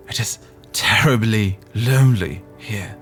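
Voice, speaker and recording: A man's voice: fairly low pitch, takes it slowly, soft background music, voice pushed down. A man voicing a naive, lonely young fir tree, musing earnestly and hesitantly in a fairytale. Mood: wistful, nostalgic.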